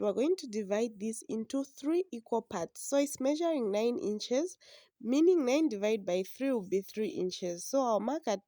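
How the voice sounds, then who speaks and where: A woman, hoarse from a flu, patiently explaining a sewing pattern step by step. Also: a little high, mellow, no room sound, studio quality. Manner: slow, patient instructions. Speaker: sewing instructor. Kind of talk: step-by-step drafting tutorial.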